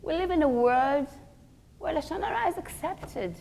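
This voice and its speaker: A woman with a fairly high voice delivers a religious lecture as a teacher. She is earnest and didactic, putting questions to her listeners and leaning on key words for emphasis. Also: lively intonation, normal speed, creaky, darkish tone. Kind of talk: religious lecture to a group of women.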